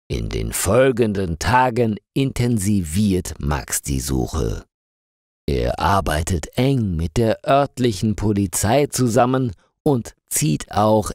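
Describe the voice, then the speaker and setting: A man narrating a scripted story for language learners in a slow, deliberate and expressive reading. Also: fairly low pitch, no room sound, clean studio sound.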